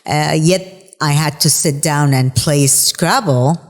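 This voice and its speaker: A woman from Bahrain whose first language is Farsi, speaking as an interview guest in a reflective, halting way with many fillers. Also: low voice, long reverb tail. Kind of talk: conversational interview.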